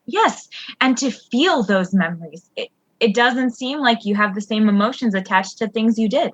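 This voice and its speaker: A woman playing a gentle, encouraging but easily flustered mentor in an audio drama, speaking in a halting, reassuring way. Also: a little high, expressive, breathy edge, dry recording, highs cut off.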